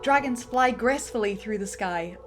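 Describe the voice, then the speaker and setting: A woman's voice: mid-range voice, normal speed. A woman narrating script directions in a dramatic reading, building up to the action beats, with music underneath.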